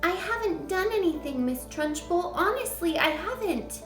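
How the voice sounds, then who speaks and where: A woman's voice: lively intonation, moderate pace, fairly bright tone, soft background music, heavily acted. A woman voicing a small schoolgirl who earnestly protests her innocence, in an echoey room. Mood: pleading. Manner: pleading, high and earnest.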